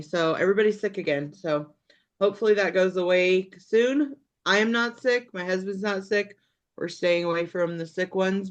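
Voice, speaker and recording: A woman in her fifties co-hosting a podcast in casual chat, laid-back and hesitant with ums. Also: lowish voice. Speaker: podcast co-host. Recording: dull muffled lo-fi sound.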